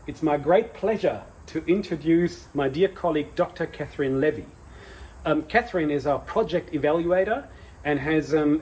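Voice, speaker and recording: A man's voice: a little high, slight background noise, poor audio. A man, director of an institute, giving a formal ceremonial welcome and introducing a guest. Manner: warm ceremonial welcome, some fillers.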